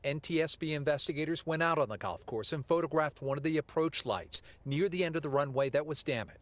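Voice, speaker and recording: A man's voice: a little high, a little fast, a bit bright, dry recording. An American man reporting live for TV news from outdoors at an airport.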